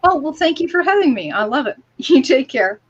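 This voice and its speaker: A woman's voice: sits high, sing-song, fairly quick, a little hiss or hum. Woman guest, dull lo-fi sound. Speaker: guest on an online talk show. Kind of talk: casual conversational discussion. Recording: dull, lo-fi call audio.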